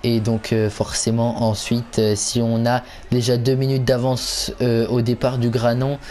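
A man's voice: mid-range voice, level tone, unhurried, a little mellow, a little hiss or hum. A man giving casual commentary on a video game, hesitant and stumbling, often repeating himself. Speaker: gaming channel host.

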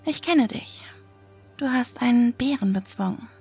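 A woman plays a wary, easily indignant young woman in a horror audio drama. Her voice is fairly high and breathy, with a heavy creak. It is recorded dry, with music underneath. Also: unhurried, a little mellow, full character voice. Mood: guarded. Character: wary, indignant young female survival-show contestant.

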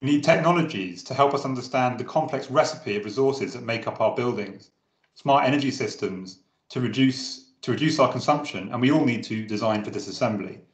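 A man giving a scripted, measured narration over a slideshow of drawings. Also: mid-range voice. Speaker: presenter. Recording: roomy echo.

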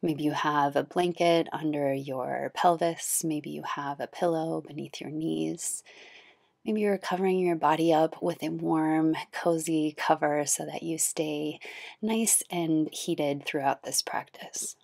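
A woman guiding a yoga nidra meditation in a soothing, gentle, unhurried manner. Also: low-pitched, steady tone, creaky, no room sound, clean studio sound. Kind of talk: guided meditation. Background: background music.

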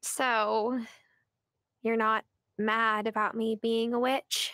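A woman's voice: fairly high pitch, flat delivery, takes it slowly, sharp tone, no room sound, studio quality, heavily acted. A woman playing a sweet, playful young witch in love in a video game cutscene. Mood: touched.

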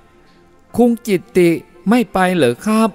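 A man reading a novel aloud, voicing an excitable young male helper who shouts directions while taking a photo. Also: slow, long reverb tail, soft background music, natural voice. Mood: eager shouting. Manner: shouting, eager.

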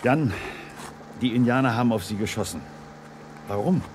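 Businesslike male ally, acted by a man, mid-pitched, urgent adventure audio drama, noticeable noise behind. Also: normal speed, close to an everyday voice. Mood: concerned, businesslike. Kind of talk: 1980s German radio play acting.

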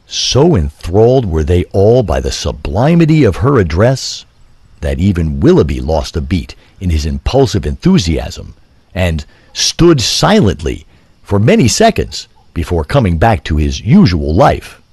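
A man's voice: low-pitched, wildly swooping pitch, measured pace, darkish tone, husky, dry recording. A man narrating an audio drama in a grandiose, literary, theatrical style. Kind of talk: theatrical scripted story narration.

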